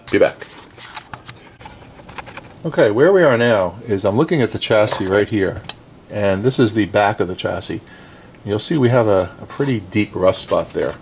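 A man giving a relaxed, chatty hands-on repair tutorial at his indoor workbench, talking to the camera while he works. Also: fairly low pitch, a little hiss or hum. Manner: relaxed, chatty, frequent "okay?" and "um". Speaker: vintage radio restorer.

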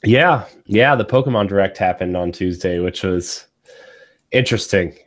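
A young man with a cold-hoarse voice hosting a casual gaming podcast, rambling and restarting his thoughts, recorded on a studio mic at home. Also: low voice, moderate pace. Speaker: podcast host. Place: small home studio with green backdrop. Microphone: studio condenser mic on boom arm.

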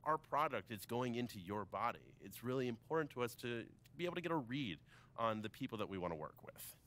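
An actor playing a polished, flattering American drinks executive in a video game cutscene, with chummy business talk. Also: male voice, medium pitch, a little fast, lightly acted. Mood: cordial, flattering. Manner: smooth, chummy business talk with small chuckles.

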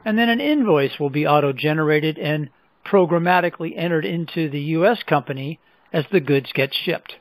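A man presenting a software demonstration in scripted, explanatory narration with measured phrasing. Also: a little high, no room sound, low-quality recording. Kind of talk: scripted product demo narration.

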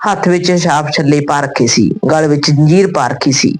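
A woman reading a scripted story and its dialogue in a deliberate, phrase-by-phrase manner. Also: low voice, a little mellow.

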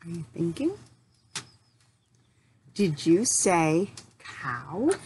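A middle-aged woman leading a lesson for young children, asking simple questions, pausing for answers and encouraging them. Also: fairly low pitch, a little hiss or hum. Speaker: teacher.

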